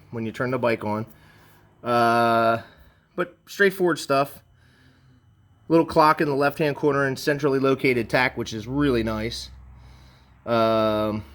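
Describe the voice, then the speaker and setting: A man's voice: mid-range voice, fairly bright tone. A man giving a conversational, enthusiastic, unscripted sales walkaround as a motorcycle dealer. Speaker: motorcycle dealer presenting a bike for sale.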